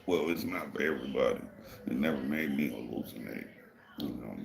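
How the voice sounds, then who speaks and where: A man from Michigan hosting a casual livestream, with a deep gravelly voice people call a radio voice, talking in a laid-back, rambling way. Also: fairly quick, echoey room. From Michigan, USA. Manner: laid-back and rambling, many "you know" fillers. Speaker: livestream host. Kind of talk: casual livestream chat answering viewers.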